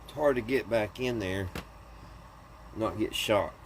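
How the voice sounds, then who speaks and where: A man's voice: on the low side, measured pace. A man in a casual hands-on repair video, thinking aloud in a folksy, muttering way as he works. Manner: folksy, muttering to himself while working. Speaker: hobbyist repairing an antique radio. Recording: clearly noisy hands-on home video.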